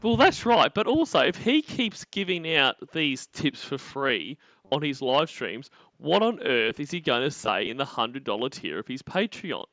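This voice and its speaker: A man's voice: mid-range voice, very animated, moderate pace, hardly any pauses, a bit bright, no echo. A man cracking jokes and laughing often as co-host of a stream reaction. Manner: mocking, laughs a lot. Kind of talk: casual banter. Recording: dull, dry home mic.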